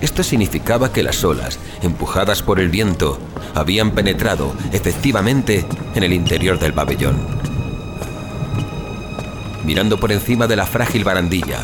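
A man's voice: low voice, animated, normal speed. A man narrating a scripted suspense horror story as an audio fiction narrator. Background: music.

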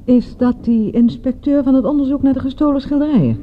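Lady as inquisitive secretary in a radio play, really high and lively, muffled recording w faint noise. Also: brisk, close to an everyday voice. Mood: curious. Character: inquisitive female secretary riding along with a detective. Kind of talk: radio drama dialogue.

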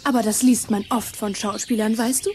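A woman's voice: fairly high pitch, steady tone, brisk, a little mellow, slight background noise, close to an everyday voice. A woman playing a self-important schoolgirl with a precious, theatrical manner in a children's radio play. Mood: affected.

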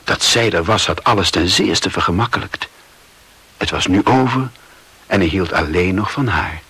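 An actor delivering scripted, wry literary narration in a radio play. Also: male voice, low voice, moderate pace, fairly bright tone, breathy edge, full character voice. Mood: measured, ironic.

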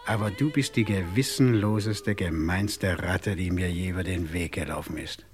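An actor playing a menacing gangster boss in a German crime radio play, mock-friendly, condescending and threatening. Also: male voice, sits low, normal speed, faint music behind, lightly acted. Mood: threatening, contemptuous. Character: menacing, sneering gangster boss owed money.

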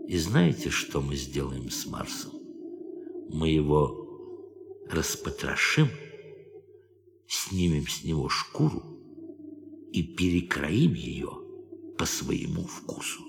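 Deep-voiced brooding astronaut. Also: male voice, sing-song, gravelly, background noise, exaggerated voice. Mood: bitter, foreboding. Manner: measured, declamatory, literary. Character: brooding, bitter male astronaut with a poetic soul. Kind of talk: theatrical radio drama acting. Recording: studio radio play with music behind.

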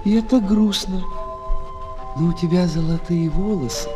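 A man playing a shy, gentle fox who longs for friendship, hesitant and tender, in a children's audio drama. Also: sits high, normal speed, lightly acted. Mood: wistful, pleading. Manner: hesitant, tender, expressive explaining. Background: music.

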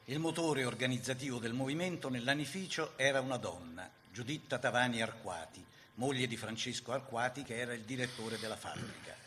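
A man's voice: medium pitch, fairly quick, a bit bright, slight background noise. A man reading scripted historical narration for radio theatre in a dramatic storytelling style.

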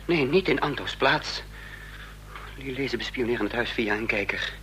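An actor playing a tense young man in hiding, speaking and narrating haltingly in a Dutch radio drama. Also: medium pitch, fairly bright tone, natural voice. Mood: agitated. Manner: halting, deliberate. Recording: noisy, humming old radio recording.

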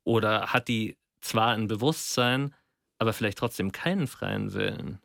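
A man's voice: lowish voice, moderate pace, creaky, fairly bright tone, no room sound, studio quality. A man thinking aloud in a casual, reflective discussion, musing in colloquial language.

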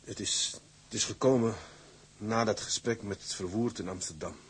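An actor playing a troubled, stubborn ship's mate and husband in a radio drama, earnest and halting. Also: male voice, lowish voice, steady tone, a little hiss or hum, close to an everyday voice. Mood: troubled, hesitant.

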